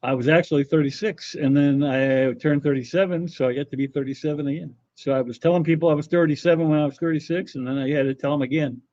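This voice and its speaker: A man's voice: a little high, steady tone, quick pace, few pauses, dark tone, no room sound. Dull-sounding man chatting on a livestream. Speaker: livestream host reading viewer comments. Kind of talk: casual livestream chat. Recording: dull, slightly muffled audio.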